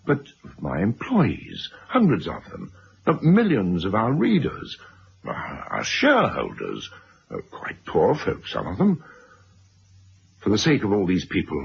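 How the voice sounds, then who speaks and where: A middle-aged man acting a blustering, evasive press magnate in a radio drama, hesitant and wheedling, with a gravelly voice. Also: deep voice, heavily acted. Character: blustering, evasive wealthy press magnate, cornered by his past. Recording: dull, noisy old radio recording.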